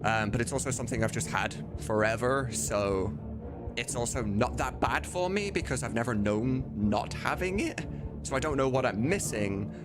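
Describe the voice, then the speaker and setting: A man's voice: medium pitch, animated, normal speed. A man chatting casually on a livestream, talking candidly in a rambling way and swearing now and then. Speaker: streamer. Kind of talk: casual livestream chat answering viewers. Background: music.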